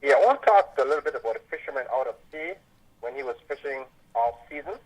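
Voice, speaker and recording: A man's voice: mid-range voice, animated, fast, darkish tone. A man with a Belizean accent calling a radio show over the phone, stern and emphatic as he complains. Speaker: radio caller, tour guide. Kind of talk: casual phone-in opinion. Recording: dull lo-fi phone line.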